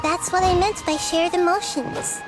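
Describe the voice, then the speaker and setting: Gentle, wise girl goddess. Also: very high voice, fairly even intonation, moderate pace, over music, rough recording. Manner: soft, patient, explanatory. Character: young girl deity, gentle, wise and calm.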